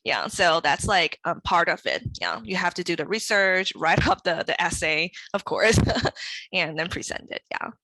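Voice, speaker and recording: Young woman guest, English not her first language, bright and animated, slightly low-pitched, fillers throughout, dry headset sound. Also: normal speed, no room sound, low-quality recording. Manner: hesitant with many fillers, laughs often. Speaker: guest, web designer. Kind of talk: casual interview chat. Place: small room at home. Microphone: headset with boom mic, video call.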